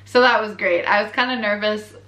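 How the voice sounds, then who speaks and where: Chatty Canadian woman, bright echoey voice. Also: mid-range voice, brisk, muffled recording. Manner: chatty, candid, laughs often, lots of fillers. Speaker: vlogger. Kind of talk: casual chat, reacting to her own earlier video. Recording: echoey room.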